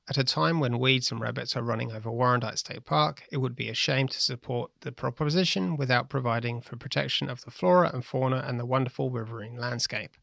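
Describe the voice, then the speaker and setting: Man, Australian accent, gravelly, crisp bright tone, dry close sound, steady read-aloud. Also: medium pitch, dry recording. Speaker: newspaper reader. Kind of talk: reading a letter aloud.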